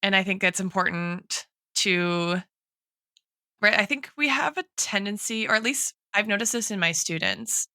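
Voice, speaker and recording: Bright, crisp-voiced woman thinking aloud. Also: medium pitch, moderate pace, no echo, studio quality. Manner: reflective, analytical, hedging with frequent tags like "right" and "like". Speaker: podcast host and guest expert, a teacher. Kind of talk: thoughtful literary podcast discussion.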